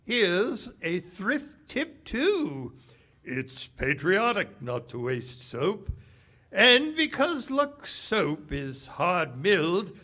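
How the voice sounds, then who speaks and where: A man acts out a 1940s radio man with a bright, forceful tone. His hearty delivery swings widely in pitch and takes its time, in old-time broadcast style. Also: full character voice. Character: folksy, enthusiastic 1940s soap company spokesman; bitter, guarded cafe owner. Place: live stage performance at a public library.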